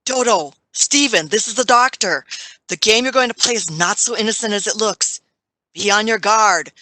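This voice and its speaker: A man performs an elderly doctor in an audio play, fussy and stern. The voice is raised high and sharp, urgent and dry in sound. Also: normal speed, no echo, low-quality recording. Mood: urgent warning. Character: indignant, anxious old male doctor. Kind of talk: audio drama acting.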